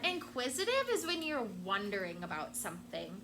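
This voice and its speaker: A fairly high-voiced woman teacher reads a storybook aloud to her class, expressive and lively in the telling, pausing to explain words along the way. The recording carries steady classroom noise behind her. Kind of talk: reading a picture book aloud to a class.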